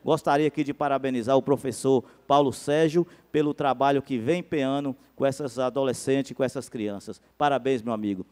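A man's voice: medium pitch, fairly even intonation, a little fast, hardly any pauses, darkish tone. Fervent councilman in a reverberant hall. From Brazil. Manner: fervent political speech, rising emphasis on key claims. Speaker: city councilman. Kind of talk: council floor speech. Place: city council chamber. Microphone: handheld mic at a podium.

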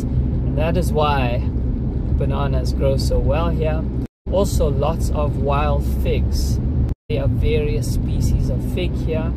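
A young man talking casually to camera while driving, explaining things in a relaxed way. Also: fairly high pitch. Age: young adult. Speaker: vlogger. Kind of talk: casual travel vlog. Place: inside a moving car. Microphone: camera on the dashboard. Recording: loud road noise.

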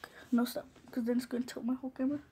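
A young female livestreamer chatting casually and excitably on a phone while making prank calls. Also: fairly high pitch, racing. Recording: noisy amateur phone recording with clicks.

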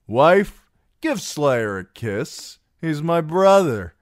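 A man's voice: a little high, big pitch swings, no room sound, clean studio sound, pitched above the performer's own voice, full character voice. A man voicing a boisterous, drunken young singer in an audiobook, cocky and mocking, with a swaggering drawl. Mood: jovial boasting. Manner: loud, swaggering, slow drawn-out delivery. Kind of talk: audiobook character voice.

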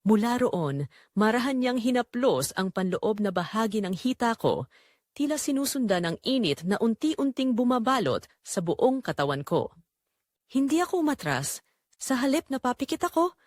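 A woman's voice: a little high, big pitch swings, normal speed, a little mellow, no echo, studio quality. A woman narrating a scripted first-person dramatic story.

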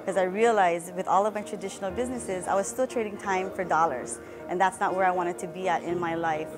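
A woman's voice: on the low side, steady tone, a little fast. A woman from Hawaii giving an upbeat, polished testimonial as an entrepreneur and singer. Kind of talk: promotional testimonial. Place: studio booth before a logo backdrop. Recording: music bed underneath.

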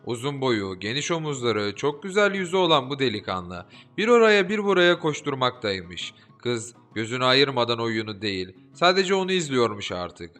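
A man narrating a fairy-tale audiobook in an expressive, lilting storytelling manner. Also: medium pitch, normal speed, few pauses. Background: soft background music.

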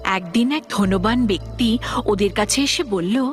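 A woman narrating children's fables as a scripted storyteller, expressive and deliberate. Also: medium pitch, faint music behind.

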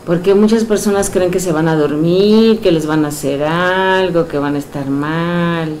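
A middle-aged woman with a Mexican accent, a hypnotherapist who guides a session with soft, slow suggestions and then chats warmly with her client in a small indoor room. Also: fairly low pitch, slight background noise. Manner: soft, slow counting and suggestions, then lively coaxing questions.

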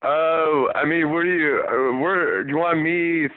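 A man's voice: on the high side, a little slow, dry recording. An American man in a casual phone chat, hesitant and polite, heard over a band-limited phone line. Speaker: online seller receiving a prank call. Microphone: telephone.

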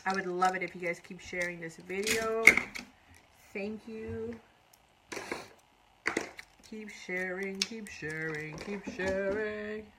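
A woman's voice: medium pitch, steady tone, slow, pauses often, heavy background noise. A woman in her thirties from Iran, chatting casually on a live makeup tutorial and reading out viewer comments while she works. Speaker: makeup artist. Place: small indoor room before a curtain.

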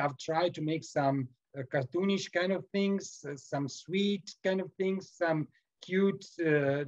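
A Serbian-accented man in his forties talks over a video call from his home workroom, his voice a little high. He stops and restarts often, filling gaps with ums. The sound is dull and muffled. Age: 40s. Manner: halting, many fillers and restarts, laughs often. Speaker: guest sculptor.

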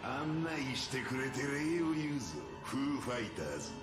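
A man's voice: medium pitch, sing-song, normal speed, natural voice. An actor playing a menacing, obsessive priest villain and his phantom spirit in an anime, with imperious, theatrical delivery. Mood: cold, commanding. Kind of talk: dramatic anime dialogue. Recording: roomy echo under very loud music. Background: very loud dramatic music.

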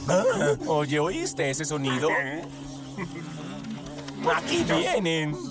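A man voicing a slow-witted cartoon lizard henchman in a goofy drawl. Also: fairly high pitch, wildly swooping pitch, heavily acted. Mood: dopey. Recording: loud music behind the voice.

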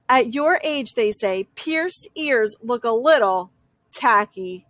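A woman with a high voice reads a children's storybook aloud. She takes it slowly, stretching key phrases for dramatic effect. The sound is dry, with no room echo. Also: a bit bright. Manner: dramatic, drawn-out emphasis on repeated phrases. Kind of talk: expressive children's picture-book read-aloud in a young girl's first person.

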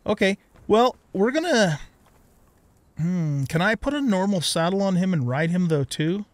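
A man's voice: sits high, animated, a little slow, no echo. A middle-aged man giving casual let's play commentary on a video game, thinking aloud and muttering to himself.

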